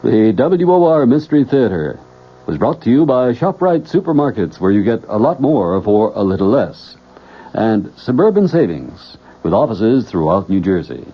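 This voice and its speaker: A man's voice: fairly low pitch, big pitch swings, normal speed, husky. A man reading scripted station announcements as a radio announcer. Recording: muffled old radio broadcast.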